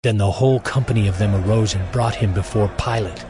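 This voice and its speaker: A man with a fairly low voice narrates scripture over prominent music. His scripted delivery is solemn and measured, as a storyteller of a biblical drama.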